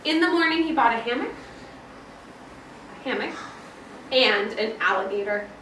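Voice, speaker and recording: A woman schoolteacher reading a picture book aloud to a class, with dramatic, expressive storytelling and emphasis on key words. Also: fairly high pitch, moderate pace. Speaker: elementary school teacher. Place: indoor school classroom, with children present. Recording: roomy, distant, noisy classroom recording.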